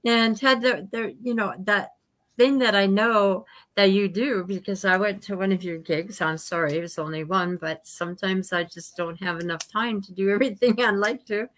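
A woman's voice: mid-range voice, normal speed, a bit bright. An older woman hosting an interview over a video call, chatty with short encouraging asides. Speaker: interview host. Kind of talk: casual interview chat. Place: home room indoors. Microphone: video call webcam.

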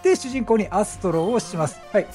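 A male gaming commentator with a high-pitched voice that swings widely as he talks. He explains his play in a chatty, excitable way. Faint music plays behind him. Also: quick pace. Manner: chatty, animated, exclaims at the action. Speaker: gaming streamer commentating gameplay.

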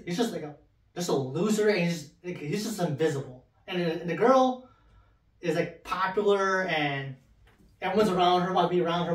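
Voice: mid-range voice, recorded from a distance. A podcast co-host in casual group chat, who stutters and restarts words often. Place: small room with colored LED lights, group around a table. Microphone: far camera mic. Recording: roomy, voice from off-mic.

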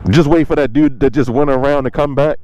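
A man vlogging casually from a motorcycle meetup outdoors, talking to viewers in relaxed slang through a helmet or body camera mic. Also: mid-range voice, a little fast, highs cut off. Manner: laid-back slangy talk to viewers. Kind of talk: casual vlog narration. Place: outdoors at a parking lot meetup. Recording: loud wind and engine noise outdoors.

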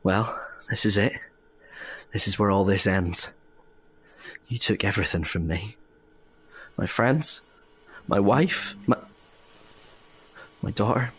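A man's voice: on the low side, takes it slowly, long pauses, a little hiss or hum, rough recording. A man playing a bitter, grieving man set on revenge in an amateur audio drama, grave and defiant. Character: Lex, bitter vengeful man, grieving his family.

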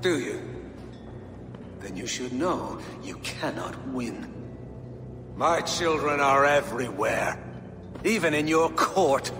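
An actor playing a sneering, corrupt middle-aged abbot in a video game, theatrical and sanctimonious. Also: male voice, mid-range voice, expressive, takes it slowly, long pauses. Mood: sly, taunting. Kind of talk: dramatic video game cutscene acting. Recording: long stone-hall reverb under music.

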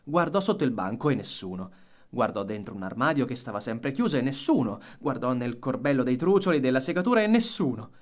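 Animated male storybook narrator. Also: mid-range voice, brisk. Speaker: audiobook narrator. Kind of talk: expressive scripted storybook narration.

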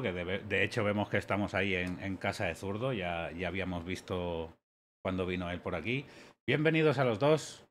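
A man's voice: fairly low pitch, a bit bright, a little hiss or hum. Slangy man hosting a stream. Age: late 30s. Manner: loose, slangy and chummy, with repeated words. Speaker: podcast host. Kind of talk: casual chat. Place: home streaming room.

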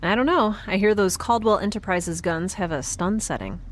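A woman's voice: mid-range voice, moderate pace, close to an everyday voice. A woman playing a chatty, self-doubting young spacefarer in an audio drama, with a casual, hesitant delivery. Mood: wry, chatty. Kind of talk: naturalistic audio drama acting. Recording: faint background noise.

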